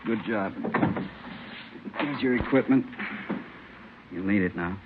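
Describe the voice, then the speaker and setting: An actor playing a businesslike police captain in an old radio drama, speaking plainly and briskly. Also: male voice, fairly low pitch. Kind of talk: 1950s radio acting. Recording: very noisy, muffled old radio recording.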